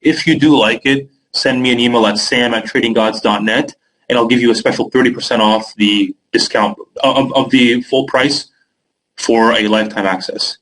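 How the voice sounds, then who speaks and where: A man's voice: medium pitch, steady tone, normal speed, fairly bright tone, poor audio. A young man from Canada with a Canadian accent hosting a live trading webinar, reading out viewer questions by name and answering them casually with filler words.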